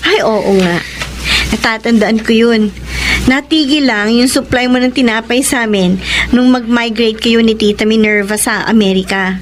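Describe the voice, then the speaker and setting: A woman acting in a Filipino radio drama as a soft-hearted young wife left by her husband, with a Filipino accent and an expressive, plaintive delivery. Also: a little high, normal speed, noisy background, close to an everyday voice. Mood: delighted. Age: young adult. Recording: music behind the voice.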